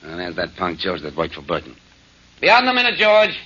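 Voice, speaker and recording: An actor playing a menacing male gambling boss in a 1940s radio drama, talking in a gruff, threatening way with clipped slang. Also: sits low, wildly swooping pitch, brisk, pushed and bright, exaggerated voice. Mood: bossy. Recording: muffled old radio broadcast.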